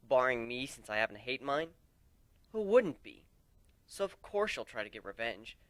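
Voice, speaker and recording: A man's voice: mid-range voice, fairly bright tone, dry recording, lightly acted. A man voicing a brash, frank young griffin warrior in a dramatic story reading. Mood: frank, emphatic.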